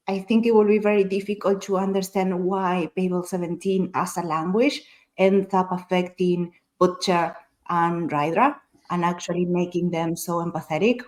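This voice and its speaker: A woman's voice: fairly low pitch, darkish tone. A woman whose first language is Spanish, talking casually as a panelist in a book discussion, explaining her thoughts in a considered way.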